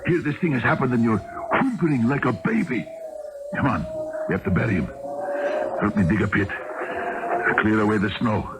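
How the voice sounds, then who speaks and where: An actor playing a defiant, hot-tempered young drifter turned killer in a radio drama, gruff, impatient and bossy. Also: male voice, on the low side, normal speed, pauses often, loud music behind the voice, close to an everyday voice. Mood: defiant, irritated. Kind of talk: old-time radio drama acting. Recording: noisy lo-fi 1970s radio broadcast.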